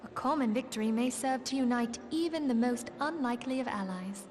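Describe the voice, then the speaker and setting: An actress playing a composed, gracious diplomat in a fantasy video game, with a poised and formal delivery. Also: female voice, a little high, a little fast, a little mellow, close to an everyday voice. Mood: calm. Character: composed, gracious female diplomat and leader's adviser. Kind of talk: measured fantasy game voice acting.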